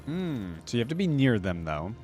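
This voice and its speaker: A man's voice: medium pitch, sing-song, normal speed, a little mellow, faint music behind. A man giving casual reaction commentary as a video host, informal and curious.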